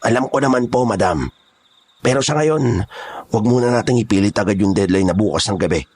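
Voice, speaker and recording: Man acting a gruff, low-voiced kidnapper. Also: a little fast, darkish tone. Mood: deferential. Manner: rough, street-tough, blunt. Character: gruff, menacing male kidnapper gang boss. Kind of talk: horror audio drama acting.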